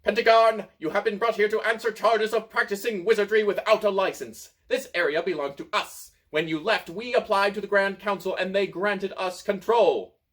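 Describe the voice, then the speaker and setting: A man's voice: high-pitched, steady tone, normal speed, few pauses, a bit bright, pitched above the performer's own voice. A man voicing a tiny, self-important male wizard speaking pompously in a storybook. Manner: puffed-up, pompous.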